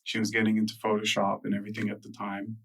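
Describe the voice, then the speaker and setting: Low, flat-voiced man telling stories. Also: fast talker, vocal fry, distant mic. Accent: American. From United States. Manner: loose, filler-heavy, with frequent restarts. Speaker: guest. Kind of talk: relaxed interview storytelling.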